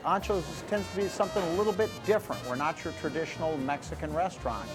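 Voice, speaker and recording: Brisk male restaurant owner over music. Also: on the high side, animated, poor audio. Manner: brisk, matter-of-fact, with fillers. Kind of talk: interview soundbite.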